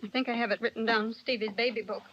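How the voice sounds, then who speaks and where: A woman's voice: a little high, fairly even intonation, very fast, lightly acted. Worried mother played by a woman, muffled recording. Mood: anxious. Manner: worried, pleading. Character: anxious, suspicious mother of a missing boy. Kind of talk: 1940s radio acting. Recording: muffled old radio recording, background noise.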